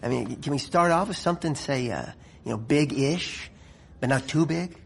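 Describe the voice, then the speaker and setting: A man's voice: medium pitch, normal speed, creaky, natural voice. Guy doing blustery us general, middle aged, folksy and sweary, stammers, radio drama, bit of background noise. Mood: conspiratorial, wry. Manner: stammering, exasperated, profane, folksy. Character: blustering, blunt American military intelligence chief, middle-aged.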